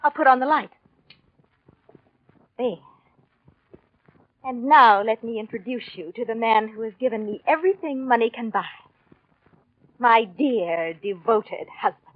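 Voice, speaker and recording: High-pitched, coaxing 1940s radio femme fatale. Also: female voice, animated, unhurried, pauses often, no room sound. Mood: cool menace. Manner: coaxing, insistent, silkily controlled. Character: scheming, possessive mistress, coolly cruel wife. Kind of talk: 1940s radio drama acting. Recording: dull vintage 1940s radio recording.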